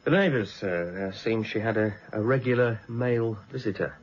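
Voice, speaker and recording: An actor playing a plodding, deferential police constable who speaks hesitantly, in a radio drama. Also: male voice, lowish voice, normal speed, highs cut off, natural voice.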